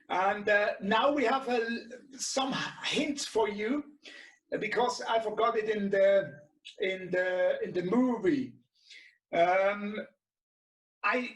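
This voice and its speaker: A middle-aged man with a Swiss German accent and German as his native language, presenting an informal tutorial in halting English, searching for words. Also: sits high. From Switzerland. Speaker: webinar presenter and radio shop co-owner. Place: small indoor radio workshop. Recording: echoey room, distant mic.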